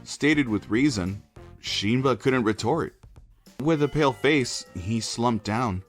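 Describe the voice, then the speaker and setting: A man's voice: mid-range voice, lively intonation, slow, soft background music. A man reading scripted first-person story narration for a comic dub.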